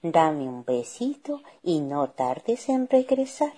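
Casting: woman as caring fairy-tale mum, mellow and slightly husky, softly instructing. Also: sits low, big pitch swings, slow, heavily acted. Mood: fond, trusting. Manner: gentle, cautioning. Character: caring, confident mother advising her young daughter.

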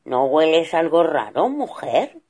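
A woman's voice: low voice, very animated, slow, dark tone, husky, exaggerated voice. A woman voicing a big papa bear who blusters indignantly. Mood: angry. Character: big papa bear, gruff and easily angered.

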